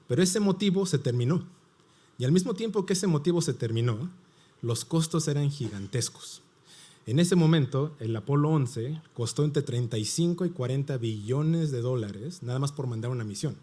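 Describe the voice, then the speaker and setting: A man's voice: mid-range voice, mellow. A middle-aged man from Mexico with a Mexican Spanish accent, giving a conference panel presentation in an explanatory way and asking and answering his own questions. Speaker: panel speaker.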